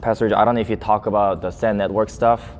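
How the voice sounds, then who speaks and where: A man's voice: on the low side, steady tone, fast talker, a little mellow. A man in his twenties, raised in Japan after birth in South Korea, giving a chatty, self-deprecating introduction as a guest preacher. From born in South Korea, grew up in Japan. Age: 20s. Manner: friendly, self-deprecating, laughs often, many fillers. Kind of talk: casual, chatty sermon introduction. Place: church sanctuary. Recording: long church reverb, faint noise.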